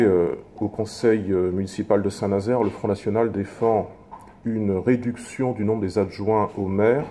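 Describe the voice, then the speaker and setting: A man speaking as an opposition councillor in a formal political speech, measured, with halting, self-corrected phrasing. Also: fairly low pitch, dark tone, slight background noise.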